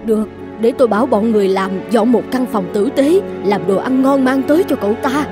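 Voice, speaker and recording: A woman's voice: on the high side, a little fast, over music, close to an everyday voice. A woman voicing the bossy, fussy middle-aged wife of a rich official in a folk-tale cartoon. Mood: insistent.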